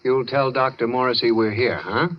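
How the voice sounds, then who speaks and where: An actor playing an easygoing, reassuring husband with a dry, joking touch in a radio horror drama, on a muffled old recording. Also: male voice, medium pitch, moderate pace, lightly acted. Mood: hesitant, polite. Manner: easygoing, polite, slightly hesitant. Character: affable, reassuring tall husband, drily joking.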